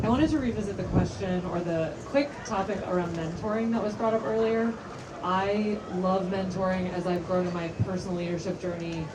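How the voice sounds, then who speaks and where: A woman's voice: medium pitch, recorded from a distance, noisy background. Woman asking a long question from the audience. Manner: articulate, long flowing question.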